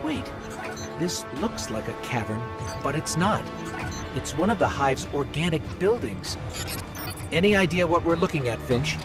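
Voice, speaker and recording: A curious male machine companion presses with direct questions. His delivery rises and falls with energy. Loud game music fills the background. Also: on the high side, sing-song, a little slow. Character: steady male robot companion, curious and probing. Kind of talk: video game dialogue.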